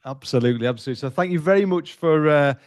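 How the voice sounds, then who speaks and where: A man's voice: fairly high pitch, racing, a little mellow, dry recording, clean studio sound. A man of forty hosting a podcast in casual chat, loose and talkative with plenty of restarts. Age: 40s. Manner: chatty, loose with many restarts and fillers. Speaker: podcast host.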